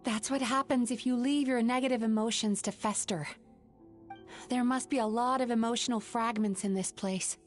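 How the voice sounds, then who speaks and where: A woman voicing a calm, resolute young dream-eating woman in a video game, speaking in a gentle, composed and serious way. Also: on the high side, normal speed, lightly acted. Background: game music.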